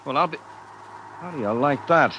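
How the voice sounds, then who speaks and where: An actor playing a jittery, bewildered ordinary office man in a 1950s radio drama, with an excitable, exclamatory manner. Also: a little high, normal speed, highs cut off, heavy background noise, natural voice. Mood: incredulous.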